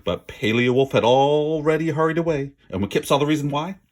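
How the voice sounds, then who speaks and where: A man's voice: a little high, fairly quick. A man reading a children's story aloud with dramatic, emphatic word stress and an informal manner. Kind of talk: expressive read-aloud narration with casual asides.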